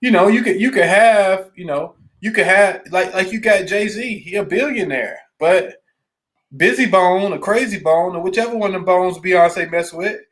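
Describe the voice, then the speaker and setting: A man's voice: high voice, moderate pace, fairly bright tone. A man co-hosting a casual podcast, talking in a blunt, opinionated way with informal, slangy phrasing. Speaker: podcast co-host. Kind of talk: casual conversation.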